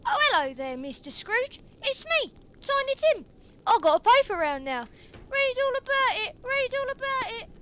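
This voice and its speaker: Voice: very high voice, sing-song, moderate pace, fairly bright tone, heavily acted. A performer playing an eager little Victorian boy in an exaggerated radio play style. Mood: calling out.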